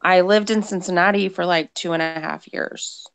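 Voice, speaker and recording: A woman's voice: on the low side, fairly even intonation, moderate pace, gravelly, fairly bright tone, clean tone. A woman from Texas in a casual livestream chat who keeps pressing the others with questions.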